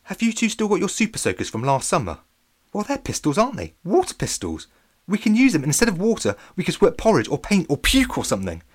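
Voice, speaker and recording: A man's voice: high-pitched, wildly swooping pitch, fast, voice pushed up, exaggerated voice. Guy doing a bubbly schoolgirl with big ideas, voice raised up, kids storybook. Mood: excited. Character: bright, bubbly, scheming schoolgirl full of ideas.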